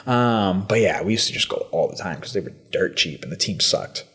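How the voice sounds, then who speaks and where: A laid-back man in his thirties hosts a casual podcast chat. His voice sits low but swings up and down a lot as he talks. He leans on slang and trailing fillers. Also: lively intonation, brisk, fairly bright tone. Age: 30s. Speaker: podcast host.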